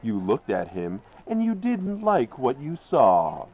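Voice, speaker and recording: A man's voice: mid-range voice, wildly swooping pitch, takes it slowly, mellow, slightly rough, muffled recording. A man narrating a crime radio drama in an ominous, theatrical manner. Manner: ominous, theatrical, addresses characters directly.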